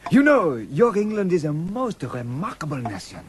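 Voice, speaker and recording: Man as a courteous foreign nobleman, wildly animated. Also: on the high side, moderate pace, a little hiss or hum. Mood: amused. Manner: polite, amused. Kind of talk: audio drama acting.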